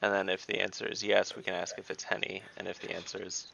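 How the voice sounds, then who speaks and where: A man's voice: low voice, little pitch movement, fast talker, creaky, bright, forceful tone, muffled recording. A man playing in an online tabletop game, thinking aloud and reasoning through options. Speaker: player. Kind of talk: casual online tabletop chat.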